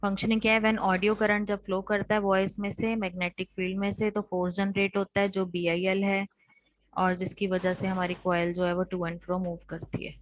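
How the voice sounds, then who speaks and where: A woman's voice: medium pitch, level tone, normal speed, no room sound. An Indian woman teaching an online technical class, explaining step by step and restating each point in other words. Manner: explanatory, repeats points in rephrased steps. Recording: dull lo-fi online class audio.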